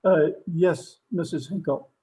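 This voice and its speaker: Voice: medium pitch, measured pace, mellow, natural voice, poor audio. An actor playing a polite, bewildered household servant in an old-time radio drama, formal and apologetic. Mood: polite, puzzled.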